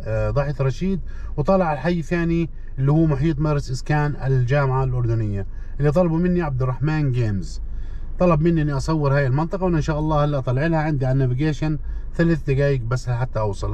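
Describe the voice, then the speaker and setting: A man's voice: a little high, very fast, darkish tone. A tired man with a Jordanian Arabic accent, chatting to his viewers as he drives through city streets and talks about the area. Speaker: channel host. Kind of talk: casual narration while driving. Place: inside a car driving through city streets. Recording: muffled with road noise.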